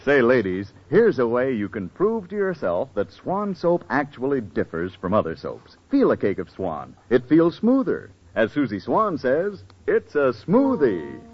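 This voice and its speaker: A man's voice: mid-range voice, wildly swooping pitch, unhurried, few pauses. An American man delivering a persuasive, emphatic 1940s radio commercial as announcer. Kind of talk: 1940s radio advertising pitch. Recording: dull vintage radio broadcast.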